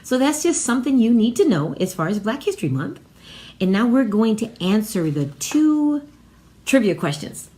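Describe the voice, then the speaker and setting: A woman's voice: fairly high pitch, very animated, moderate pace, dark tone. An American woman hosting an informal educational vlog, partly reading aloud and partly ad-libbing, with an emphatic delivery that repeats phrases. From Chicago, United States. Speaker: vlog host.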